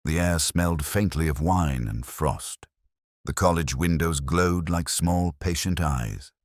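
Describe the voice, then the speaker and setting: A man narrating a scripted first-person detective story in a measured, literary, brooding way. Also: very deep voice, dry recording, studio quality.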